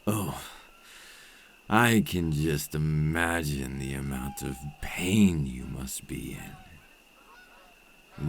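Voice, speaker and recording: A man acting as a cold, possessive vampire captor in an ASMR roleplay, speaking with deliberate, condescending menace and dropping into breathy murmurs. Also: a bass voice, a little hiss or hum, heavily acted. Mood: dark, savoring.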